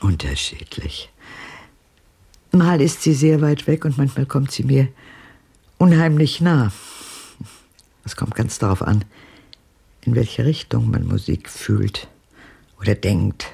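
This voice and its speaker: A haunted elderly woman in a radio play, with a very husky, gravelly voice. She is wistful and reflective, speaking in halting phrases as she looks back. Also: a bass voice, expressive, mellow, exaggerated voice. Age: older. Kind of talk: German radio drama acting.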